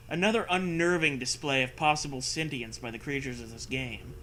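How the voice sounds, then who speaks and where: A man's voice: medium pitch, measured pace, pushed and bright, rough recording. A man doing a mocking dramatic reading with casual riffing, sarcastic, profane and prone to hammy emphasis. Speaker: comedy co-host reading fan fiction aloud.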